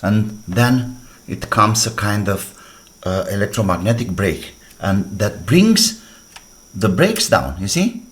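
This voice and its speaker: Slow-paced, low-voiced man demonstrating a repair. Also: sing-song. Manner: halting, short phrases, thinking aloud. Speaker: DIY electronics repair presenter. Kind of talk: hands-on repair demonstration, talking while working.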